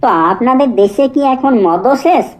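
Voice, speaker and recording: A woman's voice: high voice, expressive, moderate pace, a little hiss or hum. A woman with a western rural West Bengal accent playing a cheeky, grumbling street interviewer in a comedy cartoon. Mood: mocking. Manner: teasing, grumbling. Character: cheeky, muttering street television interviewer at liquor queue.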